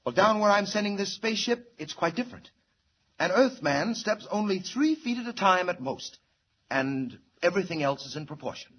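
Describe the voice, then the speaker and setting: A man's voice: sits high, animated, moderate pace, fairly bright tone, poor audio. An actor playing an anxious, devoted alien scientist father in an old-time radio drama, speaking in an earnest, theatrical, urgent way. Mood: earnest, intent.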